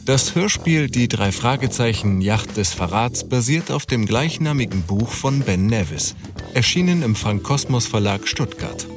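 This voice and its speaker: A male announcer delivers scripted credits in a mid-pitched voice. His intonation is lively, and music plays clearly behind him. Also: a little slow. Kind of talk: scripted closing credits narration.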